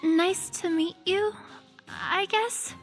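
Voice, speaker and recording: Shy, high-voiced young healer. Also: female voice, slow. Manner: hesitant, self-deprecating. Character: shy, unsure young female resistance healer. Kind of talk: video game quest dialogue. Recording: faint background music.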